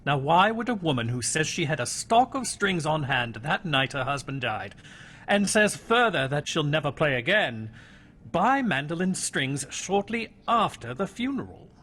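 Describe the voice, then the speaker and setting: A courteous police inspector played by a man in an old-style radio mystery. His voice is raised and husky, with animated, probing turns that hint at suspicion. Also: fairly high pitch, exaggerated voice. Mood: suspicious. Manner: polite, measured questioning. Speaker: actor in audio drama.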